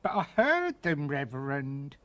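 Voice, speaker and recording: Guy doing a squeaky whiny cook lady, rough-talking, storybook voice. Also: big pitch swings, normal speed, a bit bright. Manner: whining, simpering, rough-spoken. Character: shrill working-class woman cook, whiny.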